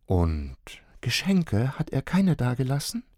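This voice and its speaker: A man reading a storybook, voicing a fretful, worried mother in a raised, softer voice. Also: medium pitch, wildly swooping pitch, takes it slowly, no echo, studio quality, pitched above the performer's own voice, heavily acted.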